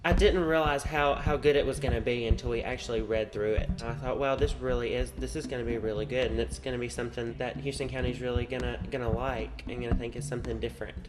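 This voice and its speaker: A man's voice: mid-range voice, steady tone, fast talker, hardly any pauses, music in the background. A man who acts in a radio play, talking casually in an interview. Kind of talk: interview talk.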